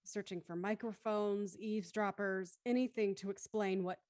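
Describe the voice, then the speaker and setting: A woman's voice: medium pitch, fairly even intonation, moderate pace, darkish tone, no echo, studio quality, lightly acted. A woman acting as the intent narrator of an audio thriller, reading dramatic scripted narration. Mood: intent storytelling.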